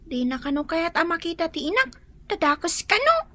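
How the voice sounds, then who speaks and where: A woman's voice: very high-pitched, very animated, moderate pace, pushed and bright, full character voice. A woman playing a hurt yet dutiful young Filipino schoolgirl in a radio drama, plaintive and deferential. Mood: hurt, longing.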